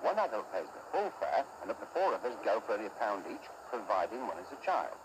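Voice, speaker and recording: A man's voice: lowish voice, big pitch swings, quick pace, noisy background. A man presenting a scripted, upbeat advert for train travel, talking straight to the listener.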